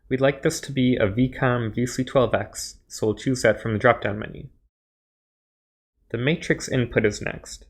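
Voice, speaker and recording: Male tutorial presenter, medium pitch, scripted instructional read, measured step-by-step delivery.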